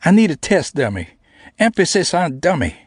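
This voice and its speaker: A man's voice: on the high side, sing-song, normal speed, slightly rough, no room sound, clean studio sound, pitched above the performer's own voice. A man voicing a snarky young woman scientist who crudely teases over an intercom. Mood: mocking. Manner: mocking, crude teasing.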